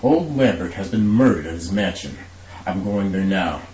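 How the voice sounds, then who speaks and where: Guy doing a brisk police commissioner, radio drama, low and in charge, bit of hiss, roomy. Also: lively intonation, darkish tone. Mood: businesslike. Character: authoritative middle-aged police commissioner, steady, businesslike. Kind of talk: amateur radio drama acting. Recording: roomy echo, faint hiss.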